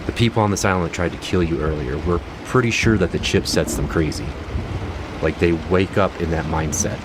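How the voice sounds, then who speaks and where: An actor playing a calm, weary male doctor on a prison island, in an audio drama. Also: low-pitched, background noise, close to an everyday voice. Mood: grave. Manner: measured, weary. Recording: music under the voice.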